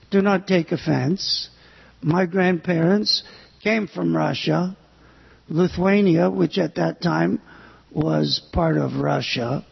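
An older man from the United States giving a sermon on scripture, speaking haltingly with pauses and fillers. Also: high voice, creaky, husky, in a large hall, muffled recording. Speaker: preacher.

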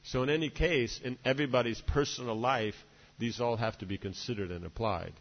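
A man's voice: medium pitch, a little fast. A man giving a devotional lecture, rambling along and checking in with "right?" and "you know" between his points. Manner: discursive, many "you know" and "right?" asides. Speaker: spiritual teacher. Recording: dull reverberant hall recording.

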